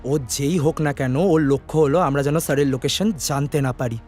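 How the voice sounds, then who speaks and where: A man's voice: medium pitch, fairly even intonation, fast, a little mellow, soft background music, lightly acted. A man voicing a serious, decisive cartoon detective who gives urgent orders to his team. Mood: deducing. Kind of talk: animated cartoon dialogue.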